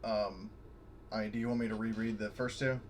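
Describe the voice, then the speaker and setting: A man playing a tabletop game, reading out rules hesitantly with many pauses and ums. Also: lowish voice, level tone, slight background noise. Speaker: player. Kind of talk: casual chat at a game table.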